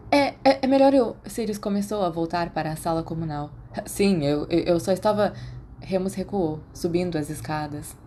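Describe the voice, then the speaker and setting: A woman's voice: medium pitch, mellow, slight background noise. A Brazilian woman speaking as the narrator of a fan fiction podcast. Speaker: podfic narrator. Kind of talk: expressive audiobook narration and casual chat to listeners.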